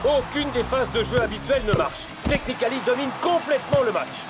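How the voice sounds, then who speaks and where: A man playing an excitable, theatrical football commentator in a dubbed cartoon. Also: very high-pitched, brisk. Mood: building suspense. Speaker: match commentator. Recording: loud music behind the voice. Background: loud music.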